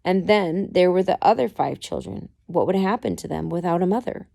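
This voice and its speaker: A woman's voice: on the low side, moderate pace, vocal fry, warm tone. A woman narrating a story aloud from a book, reading it expressively. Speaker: amateur audiobook reader.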